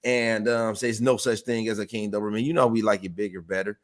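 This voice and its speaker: A man's voice: fairly low pitch, fairly even intonation, brisk. A man who co-hosts a casual interview, recounting things in a rambling, hesitant way. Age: 40s.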